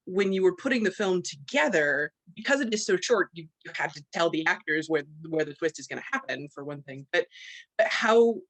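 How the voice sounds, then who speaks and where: A woman's voice: medium pitch, a bit bright, poor audio. A woman on a video call asking a long, thoughtful question that winds through several points.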